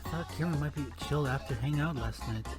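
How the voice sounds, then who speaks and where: A woman voicing a dry, easygoing young office worker with a deadpan manner in a cartoon comedy dub, with music behind. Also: low-pitched, fast talker, close to an everyday voice. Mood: casual. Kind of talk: animated comedy comic dub.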